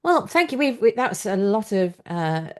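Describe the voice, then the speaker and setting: A woman's voice: mid-range voice, big pitch swings, moderate pace. A British woman hosting a conversational interview, talking in a chatty, opinionated and enthusiastic way. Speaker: podcast host and interviewer.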